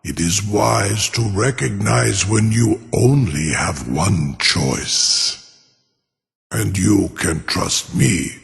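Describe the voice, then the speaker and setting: An ancient dragon from a fantasy game, proud and cunning. The voice is low and crackles with gravel, wrapped in long cavernous reverb. Words are formal and grandiose, each one savored. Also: male voice, a bit bright, full character voice. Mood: haughty, scheming. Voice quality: deep, gravelly growl. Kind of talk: fantasy video game acting.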